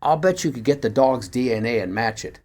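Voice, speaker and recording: A man's voice: mid-range voice, animated, fairly quick, a little mellow, lightly acted. A man voicing an eager, persuasive amateur sleuth in the dialogue of a first-person story reading.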